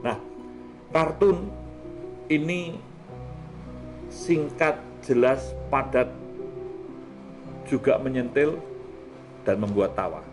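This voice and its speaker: Male host, average pitch, conversational, music clearly audible underneath. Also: extremely slow, pauses often. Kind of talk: conversational talk show discussion.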